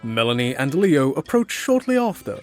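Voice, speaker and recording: A man's voice: medium pitch, very animated, moderate pace, a little mellow, soft background music. A man reading scripted narration for a fantasy audio drama.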